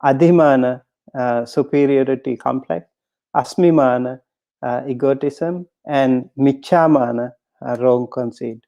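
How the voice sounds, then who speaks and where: A man, a Buddhist monk, giving a dharma talk in a halting way with many pauses and fillers, reciting Pali terms and then explaining each one. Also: mid-range voice, gravelly, warm tone. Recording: dull lo-fi recording.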